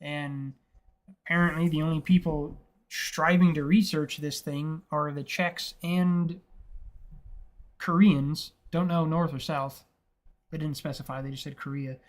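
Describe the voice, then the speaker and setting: A man's voice: a little high, steady tone, unhurried. A young man co-hosting a casual film podcast, rambling and swearing freely, laughing often. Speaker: podcast co-host.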